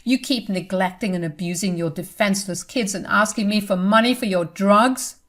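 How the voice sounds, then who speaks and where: A woman voicing an angry older mother who loudly scolds her grown daughter. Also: mid-range voice, steady tone, measured pace, lightly acted. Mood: furious. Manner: loud, scolding.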